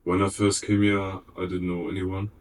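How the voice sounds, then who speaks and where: A man with a very deep voice speaks in a flat, even tone in a documentary interview. He is earnest but halting as he phrases his thoughts. He is recorded from some distance away. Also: slight background noise.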